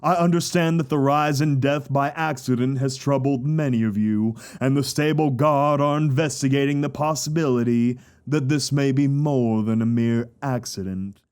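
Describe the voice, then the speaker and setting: A man's voice: mid-range voice, moderate pace, hardly any pauses, darkish tone, clean tone, lightly acted. A man playing a zealous pony preacher in an audio drama, delivering a solemn, formal, oratorical sermon to his congregation. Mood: grave, consoling.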